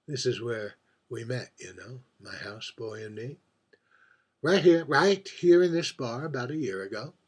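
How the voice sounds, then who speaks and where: A man performing a dramatic monologue as a lonely, heartbroken drag queen drinking at a bar, speaking in a halting, wistful, confiding way. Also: mid-range voice, lively intonation. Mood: wounded, rueful. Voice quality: husky, breathy. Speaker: writer reading own monologue.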